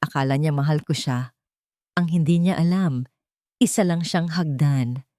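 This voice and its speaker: A woman's voice: low-pitched, measured pace, dark tone, dry recording, studio quality. Woman voicing a scheming male fortune hunter. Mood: smug, scornful.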